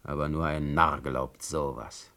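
A man's voice: very deep voice, little pitch movement, unhurried, vocal fry, bright, forceful tone, full character voice. A man playing a sardonic, imperious German poet in exile for a radio play, declaiming his words. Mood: bitter irony. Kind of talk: radio play acting and letter reading.

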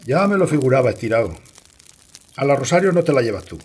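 A man's voice: mid-range voice, very animated, quick pace, a little mellow, natural voice. A man from Spain acting an embittered, vengeful rural man in a radio play, terse and brooding. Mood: defiant. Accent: Spanish, from Spain.

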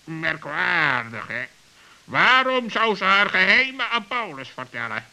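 A man's voice: sits high, very animated, a little slow, vocal fry, exaggerated voice, rough recording. A man playing a clever, persuasive talking bird with a piercing voice and a pedantic, emphatic manner in a children's radio play. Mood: impressed, approving. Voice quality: sharp, piercing.

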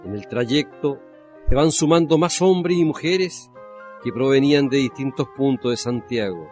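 Un hombre que narra un radioteatro histórico con un guion. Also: male voice, medium pitch, a little slow, darkish tone, soft background music. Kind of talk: scripted documentary-drama narration.

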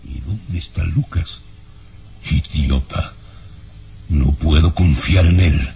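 A man's voice: a bass voice, takes it slowly, creaky, dark tone, slight background noise, full character voice. An actor playing a scheming older baron in an old radio drama, stammering and flustered as he denies the others' claims. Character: scheming older nobleman, a baron, sly and jittery. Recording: radio serial with music underneath.

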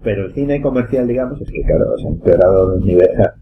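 A man with a fairly low voice and a Castilian Spanish accent. He rambles hesitantly as a panelist among friends. The recording is dull, with some noise behind him. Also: animated, fast. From Spain. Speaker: podcast panelist. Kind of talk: casual chat among friends.

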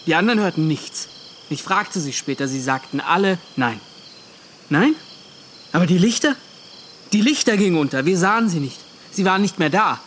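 An actor playing a haunted young ship's officer, bitter and agitated, justifying himself in a radio drama monologue. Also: male voice, high-pitched, fairly bright tone, a little hiss or hum. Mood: anguished, pleading. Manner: agitated, self-justifying, rhetorical questioning.

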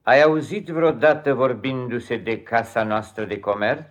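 An actor playing a cold, controlling stepfather in a 1950s radio drama, speaking in a formal, measured, stern way. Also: medium pitch, fairly even intonation, close to an everyday voice.

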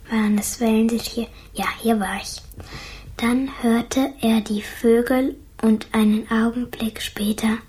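A hushed young girl in a radio play, reading to herself. The voice is whispery and breathy, slow and musing. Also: fairly high pitch. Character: young girl Kiki reading a letter, pondering it.

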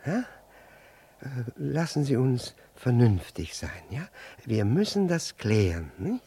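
Jealous middle-aged husband in vintage radio drama: husky, flustered, stammering, with big swings in pitch. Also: male voice, wildly swooping pitch, slow pace, mellow, heavily acted. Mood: agitated, confiding. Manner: stammering, repeating and correcting himself. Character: flustered jealous husband, pretending it concerns his friend. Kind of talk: 1960s German radio play acting.

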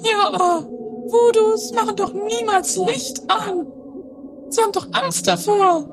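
A woman playing a naive, deadpan castle companion in a trashy amateur comedy radio play. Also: extremely high pitch, slow, background noise, heavily acted. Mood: matter-of-fact. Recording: loud music over 1980s radio play.

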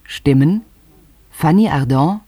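Formal male radio drama announcer, unhurried, slightly high-set voice, dry studio sound. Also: animated, warm tone, no room sound. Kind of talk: scripted radio drama credits.

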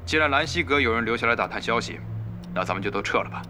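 A man as a pragmatic mid-pitched guild leader. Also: expressive, fast talker, close to an everyday voice. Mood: measured, brisk. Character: pragmatic, mediating male guild leader in a game. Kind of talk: Chinese audio drama acting. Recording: ambient noise behind.

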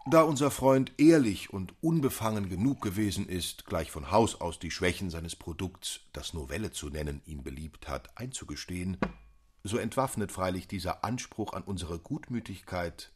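A dry, condescending critic in a literary radio drama. The voice is deep and husky. His sentences are long, formal and ironic. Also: normal speed. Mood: dry irony.

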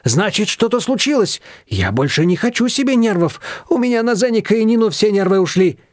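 Man voicing a fussy, high-pitched excitable woman. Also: a little fast, no room sound, studio quality. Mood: stubborn, fretting. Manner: exclaiming, fretting, insistent. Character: loud, fussy, excitable older Georgian-family woman, dramatic and doting.

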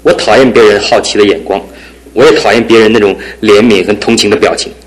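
A man's voice: lowish voice, steady tone, quick pace, slight background noise, close to an everyday voice, rough recording. An actor playing a young groom who is bitter and brooding about his lame leg. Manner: brooding, self-pitying. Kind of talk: 1970s radio drama acting.

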